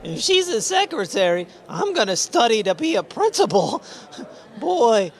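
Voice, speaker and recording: A woman's voice: fairly high pitch, sing-song, measured pace. A woman playing an eager, gossipy teenage schoolboy in a radio comedy, with hesitant starts and exclamations. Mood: excited, astonished. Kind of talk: old-time radio comedy acting.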